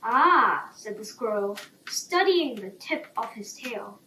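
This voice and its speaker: A woman's voice: medium pitch, expressive, measured pace. A woman narrating a children's bedtime story from a script. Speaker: storybook reader. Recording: roomy, distant, faint background noise.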